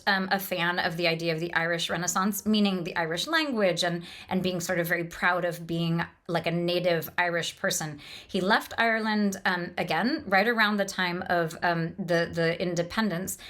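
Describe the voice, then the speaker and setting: A woman's voice: medium pitch, fairly bright tone. A woman lecturing on literature off the cuff, in an enthusiastic, discursive way that explains as it goes. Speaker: lecturer and host of a literature podcast.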